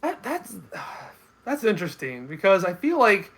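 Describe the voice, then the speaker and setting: High, bright-voiced man thinking out loud. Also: wildly swooping pitch. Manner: halting, repeats words as he thinks aloud. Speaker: guest commentator. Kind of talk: casual film and game discussion.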